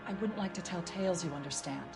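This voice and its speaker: A woman's voice: lowish voice, fast, over loud music, close to an everyday voice. A woman playing a nervous housekeeper questioned by police in a video game, talking hesitantly and stammering. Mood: confiding. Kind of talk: video game interrogation scene.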